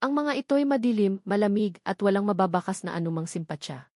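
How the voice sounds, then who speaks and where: A woman's voice: mid-range voice, expressive, fairly quick, darkish tone, no echo. Female storyteller, mid-range voice, scripted dramatic romance narration, dry sound.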